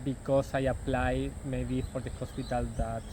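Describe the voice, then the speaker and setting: A man's voice: mid-range voice, flat delivery, moderate pace, background noise. A young man from Argentina whose first language is Spanish, speaking English with an Argentinian accent and answering interview questions hesitantly, often repeating words.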